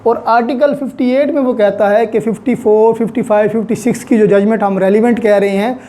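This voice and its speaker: A woman's voice: on the high side, fairly even intonation, fairly quick, mellow. A woman with a Pakistani accent lecturing as a law teacher, explaining methodically in short phrases and repeating key points. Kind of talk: explanatory lecture reviewing legal articles.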